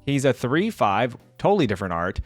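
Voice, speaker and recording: A man gives casual commentary as host of a reaction video. His pitch moves around a lot, and the sound is dry and close, with faint music behind him. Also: big pitch swings, brisk, dry recording.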